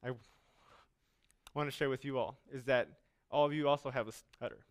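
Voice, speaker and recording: A young man speaks on stage to a live audience in a smooth, resonant voice. He stutters often, catching on first sounds and repeating words. His delivery is earnest and deliberate. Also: medium pitch, fairly even intonation. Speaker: speaker giving a talk. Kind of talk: motivational stage talk.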